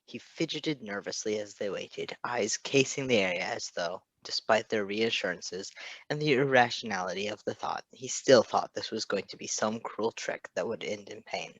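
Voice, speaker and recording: A man's voice: on the low side, normal speed, a bit bright, no echo. A man reading fan fiction aloud as a narrator, with casual asides.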